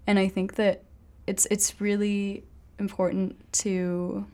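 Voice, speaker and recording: A woman's voice: medium pitch, flat delivery, slow pace, darkish tone. Young French woman with an American accent, sincere, chatty. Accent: American-accented English. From France. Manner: earnest, repetitive restarts. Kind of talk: casual conversation.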